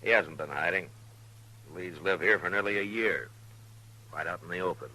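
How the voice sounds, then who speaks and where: A stern frontier marshal in a 1950s radio western. The voice is very deep and cuts through with great force. He speaks plainly and with firm authority. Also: normal speed, sharp tone, heavily acted, poor audio. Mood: firm suspicion.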